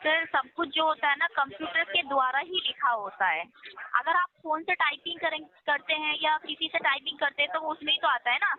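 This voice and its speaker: High-pitched woman persuading over a tinny phone line. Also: a little fast. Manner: insistent, explaining, polite address with repeated check questions. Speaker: loan recovery call-centre agent. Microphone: telephone line. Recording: tinny phone call recording, faint music.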